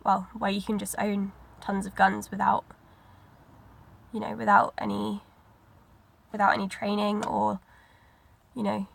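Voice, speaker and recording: A woman's voice: mid-range voice, monotone, a little slow, vocal fry, pauses often, fairly bright tone. A woman with a cold, sounding bunged up and sniffly, chatting casually in a rambling reading vlog. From Britain. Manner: rambling, many likes and ums. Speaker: book vlogger.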